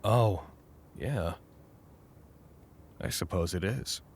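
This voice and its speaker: A man playing a skeptical, awkward, anxious facility staffer in an audio drama, with a casual, halting, wry way of talking. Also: low voice, slight background noise, heavily acted. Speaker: voice actor. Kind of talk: naturalistic audio drama acting.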